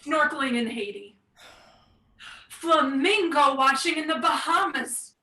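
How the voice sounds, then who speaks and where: A woman acting a sarcastic grown daughter in a stage play. Her voice is high and sharp, with a dry, accusing edge, and it echoes around the room. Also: measured pace. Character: resentful, sarcastic adult daughter, a weary young mother.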